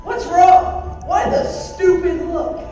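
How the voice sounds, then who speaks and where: A woman playing a brash, teasing parent in an amateur stage play, with loud, mocking delivery. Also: extremely high pitch, slow pace, soft background music. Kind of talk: amateur stage comedy-drama acting. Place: large theater stage, indoors. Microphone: far audience camera. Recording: echoey theater from far off, audience noise.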